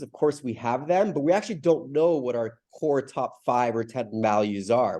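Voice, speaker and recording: A man with a cold, his voice stuffy and mellow, talks through big ideas on a video call. He rambles loosely, doubles back on himself and keeps checking in with "right?". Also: medium pitch. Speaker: community call host. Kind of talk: casual explanatory talk on a video call.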